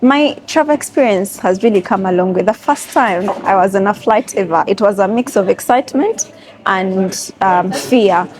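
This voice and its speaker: A woman's voice: medium pitch, moderate pace, a little mellow. Chatty young woman vlogging. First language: Luganda. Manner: chatty, reflective, speaks straight to viewers. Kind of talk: casual travel vlog chat. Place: cafe and airport, indoors.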